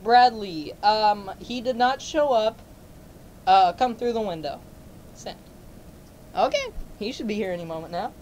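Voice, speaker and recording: A woman's voice: mid-range voice, a little slow, long pauses, darkish tone, a little hiss or hum, natural voice. A woman playing an impatient, suspicious girlfriend who mutters casually to herself in an amateur comedy role-play. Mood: scornful, casual.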